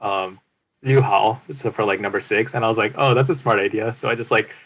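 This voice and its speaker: A man's voice: lowish voice, fairly even intonation, a little fast, a bit bright, poor audio. Chatty man telling travel stories. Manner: chatty, filler-laden, laughs at own stories. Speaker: podcast co-host. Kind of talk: casual podcast storytelling.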